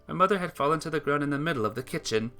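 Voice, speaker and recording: A bright, forward voice narrates a sentimental story in earnest. The reading trips slightly now and then, and music plays behind it. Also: mid-range voice, lively intonation, racing. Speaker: visual novel player reading the text aloud.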